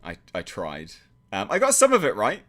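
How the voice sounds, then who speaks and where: A chatty man from England hosts his own livestream, reading comments and rambling in a self-deprecating way. His voice is on the low side but bright, and it swoops up and down with a lot of life. He talks into a desk mic in a home setup. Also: wildly swooping pitch, moderate pace. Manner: chatty, self-deprecating, lots of restarts and fillers. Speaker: channel host reading live chat. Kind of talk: casual livestream chat.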